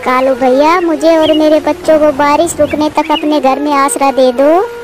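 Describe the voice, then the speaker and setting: A woman voicing a gentle, helpless young mother bird with a plaintive manner in a moral-story cartoon. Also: high-pitched, steady tone, moderate pace, over music, natural voice. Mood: distressed, pleading. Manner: pleading, plaintive.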